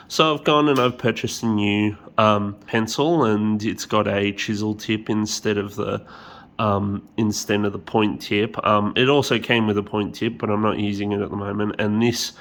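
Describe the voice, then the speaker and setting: A man's voice: fairly low pitch, fairly even intonation, moderate pace, a bit bright. A man with a stuffy, nasal voice from a cold, casually talking through a repair with lots of ums and the odd swear. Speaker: hobbyist repair vlogger. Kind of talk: casual hands-on tutorial.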